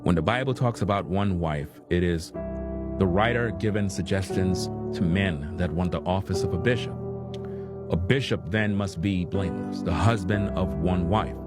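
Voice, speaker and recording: Male preacher type, combative lecturing, low voice, heavy music bed. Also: moderate pace. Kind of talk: preaching commentary.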